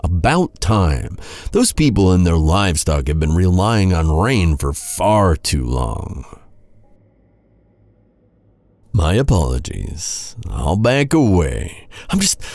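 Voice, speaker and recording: An actor playing a bitter, sardonic knight who taunts a royal captive with mock courtesy in a dark fantasy audio roleplay. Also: male voice, low-pitched, sing-song, dry recording, full character voice. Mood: sarcastic, needling. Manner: sardonic, mock-courteous, brooding, with sighs and pauses.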